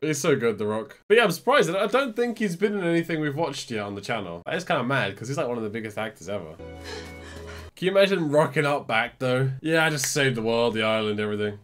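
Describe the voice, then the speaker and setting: Young British reaction host, chatty and excitable, lively range, headset mic, faint music bed. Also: male voice, mid-range voice, quick pace. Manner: excitable, laughs often, chatty. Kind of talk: casual reaction commentary. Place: small bedroom studio.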